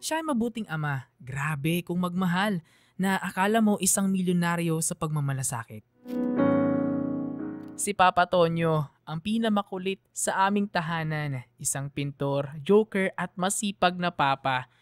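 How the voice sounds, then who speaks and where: A woman with a low-pitched voice narrates a sentimental radio story from a script. Piano music plays clearly beneath her. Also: moderate pace. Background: piano music under the voice.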